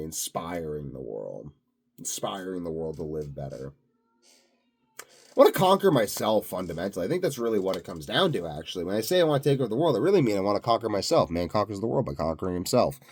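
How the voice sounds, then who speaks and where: Low-voiced man, grandiose, very animated. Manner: grandiose, self-assured, musing aloud. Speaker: self-styled health and sleep expert vlogger. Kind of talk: rambling unscripted monologue to camera.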